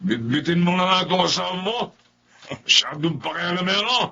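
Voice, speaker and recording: A man acting a drunk, belligerent, raspy neighborhood man who slurs and taunts in a Filipino radio drama. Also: high voice, lively intonation, brisk, bright, forceful tone, heavily acted. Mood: threatening, mocking. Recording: dull, muffled audio.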